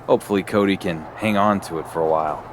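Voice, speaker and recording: A man's voice: low-pitched, fast, slight background noise, natural voice. An actor playing a confident young male wrestling champion in a video game, with a defiant edge. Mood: cocky. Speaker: player character.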